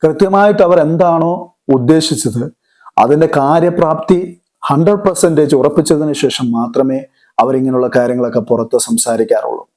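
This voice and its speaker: Mellow, higher-pitched man. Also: normal speed. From Kerala, India. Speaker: commentator on current affairs. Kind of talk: explanatory news commentary.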